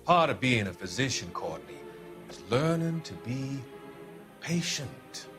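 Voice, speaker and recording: A psychiatrist in a dramatic game scene, a man of quiet authority. He speaks formally and deliberately in a mid-pitched voice with very varied intonation. Music plays clearly behind him. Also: very animated. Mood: calm, evasive. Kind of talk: dramatic video game cutscene acting.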